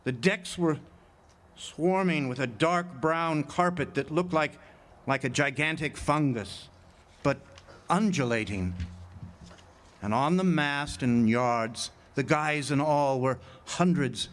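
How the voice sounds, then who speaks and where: A man's voice: on the high side, slow, fairly bright tone. A man playing a watchful lighthouse keeper in a radio drama, narrating earnestly with vivid, suspenseful hesitations. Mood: horrified. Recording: noisy live outdoor amphitheater stage recording.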